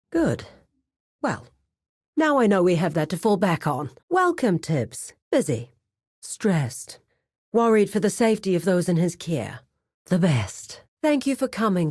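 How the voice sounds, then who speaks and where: A woman playing a composed, dutiful lieutenant to a crime boss in a video game, speaking in a measured, formal, businesslike way. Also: fairly low pitch, darkish tone. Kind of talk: video game character voice acting.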